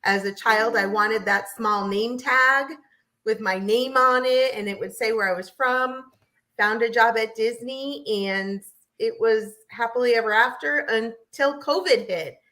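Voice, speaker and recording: Female American guest, bright forceful voice, chatty storytelling delivery. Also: a little high, a little slow. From southern New Hampshire, United States. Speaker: guest, realtor. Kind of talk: interview storytelling.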